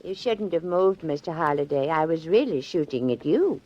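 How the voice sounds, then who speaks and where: A woman's voice: deep voice, animated, normal speed, mellow, a little hiss or hum. An actress playing a genteel, batty little old lady killer in a 1940s radio drama, polite and eerily matter-of-fact. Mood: matter-of-fact confession. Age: older. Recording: dull 1940s radio recording.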